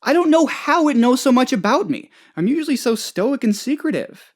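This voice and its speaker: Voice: high-pitched, very animated, moderate pace. Rambling, swooping, anxious dim office boss. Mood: indignant. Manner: rambling, hesitant, whiny when upset. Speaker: video game character. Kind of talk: comedic game dialogue, voice lines.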